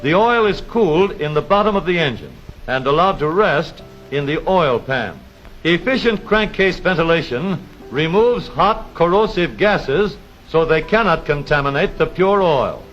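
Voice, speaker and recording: A man narrating a scripted 1930s educational film in a measured, formal announcer's delivery with precise, explanatory phrasing. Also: fairly high pitch, lively intonation, a bit bright. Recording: dull vintage 1930s film soundtrack, music behind.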